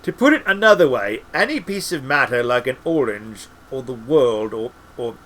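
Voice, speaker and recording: A man voicing a senior wizard in a storybook, earnest, weary and muddled, halting as he searches for words. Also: medium pitch, very animated, fairly bright tone. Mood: flustered, earnest.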